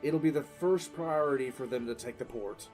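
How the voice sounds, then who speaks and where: A male actor voices a seasoned, cool-headed military commissar in an audio drama. He recounts events with weary deliberation and dramatic pauses, at a middling pitch. Soft music plays faintly behind him. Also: close to an everyday voice. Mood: grave, detached. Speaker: narrator and lead voice actor. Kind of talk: dramatic first-person audio drama narration. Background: faint background music.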